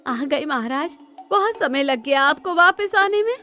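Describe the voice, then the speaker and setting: Woman acting a high-pitched, proud, bitter queen. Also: animated, a little slow, pushed and bright, faint music behind, exaggerated voice. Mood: icy sarcasm. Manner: regal, sarcastic, dramatic. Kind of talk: cartoon storybook dialogue.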